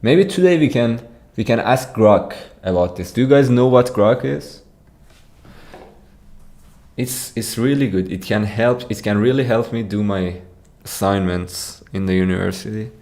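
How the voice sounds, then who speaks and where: Slow-talking Iranian man streaming. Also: lowish voice, darkish tone. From Iran. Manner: chatty, repeats words, answers viewer comments. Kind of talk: casual livestream chat.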